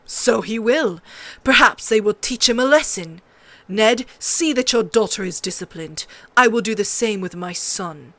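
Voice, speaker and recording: A domineering middle-aged male king, acted by a woman narrator. He is gruff and brusque, irritably handing down commands in an audiobook. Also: medium pitch, animated, unhurried, a bit bright, no room sound. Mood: stern. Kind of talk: audiobook character voice.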